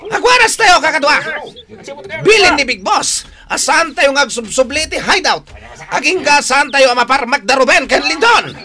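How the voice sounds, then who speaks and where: An actor playing an aggressive male henchman leader shouting orders to his men in a radio drama. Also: very high-pitched, very animated, moderate pace, a crowd in the background. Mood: urgent, aggressive.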